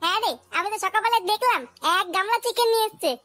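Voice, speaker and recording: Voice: very high voice, rapid-fire, bright, forceful tone, no echo, soft background music. A performer voicing a brash, foul-mouthed young male drinking buddy in a comic cartoon, speaking slangy dialogue. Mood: rowdy, indignant.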